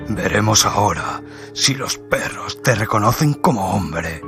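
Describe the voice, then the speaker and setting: A man plays a cruel, commanding beast tamer in a radio drama. His male voice is low and threatening, each order drawn out slowly over background music. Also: animated. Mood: menacing.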